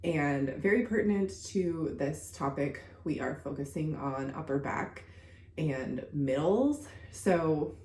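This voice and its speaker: Congested low-voiced woman podcast host. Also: animated, slow pace, a little mellow, echoey room. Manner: chatty, lots of fillers like "like" and "um". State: congested from a recent illness. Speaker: podcast host and contortion coach. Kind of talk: casual solo podcast monologue.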